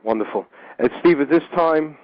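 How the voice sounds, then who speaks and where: A man hosting a call-in radio show, speaking in a measured way and often repeating a word as he starts a phrase. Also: fairly high pitch, no room sound. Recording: dull dry lo-fi radio recording.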